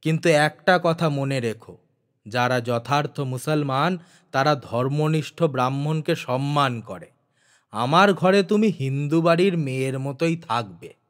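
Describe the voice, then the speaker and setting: A dignified old elder from a storybook, voiced by a man. He speaks in a measured, authoritative way, protective and sure of himself. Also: fairly high pitch, natural voice. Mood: calm, reassuring.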